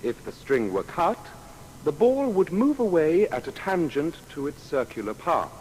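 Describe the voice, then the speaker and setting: An earnest natural philosopher, expounding in an audio drama. His fairly high, very varied voice rings in a long reverb, and the delivery is measured and explanatory. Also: big pitch swings, a little mellow. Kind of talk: dramatised scientific quotation. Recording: long hall reverb, faint noise.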